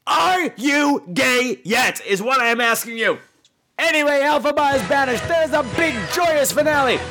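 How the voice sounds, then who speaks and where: A man's voice: very high-pitched, animated, normal speed, pushed and bright, soft background music. A man hosting a podcast, telling comic personal stories in a campy, exaggerated theatrical style with salty, sassy asides. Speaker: podcast host.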